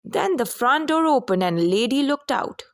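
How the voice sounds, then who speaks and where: A woman reading a children's story aloud as a storyteller, with expressive, deliberate delivery. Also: high-pitched, no echo, studio quality.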